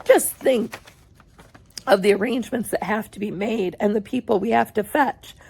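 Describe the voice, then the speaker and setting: A woman's voice: mid-range voice, normal speed, creaky, a little mellow, breathy edge, rough recording. A woman voicing an eccentric, cheerful man who owns a chocolate factory, talking in a bouncy, theatrical way. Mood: gleeful.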